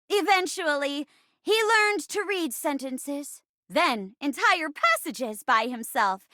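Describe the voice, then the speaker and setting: A woman voicing a theatrical, expressive storyteller in a game, narrating dramatically. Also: high-pitched, no echo, studio quality, heavily acted. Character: theatrical, expressive female amateur playwright and storyteller.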